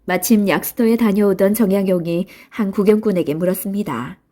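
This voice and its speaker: A woman's voice: mid-range voice, brisk, dark tone. A woman narrating a children's storybook in a scripted read-aloud style.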